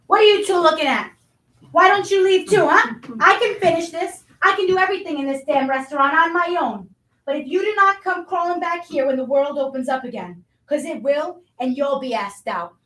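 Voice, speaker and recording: A man's voice: very high-pitched, brisk, pushed and bright. A performer as abrasive restaurant boss man, distant, echoey. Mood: angry. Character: abrasive, bigoted male restaurant boss, contemptuous and bullying. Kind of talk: staged reading of a play. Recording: roomy echo, distant microphone.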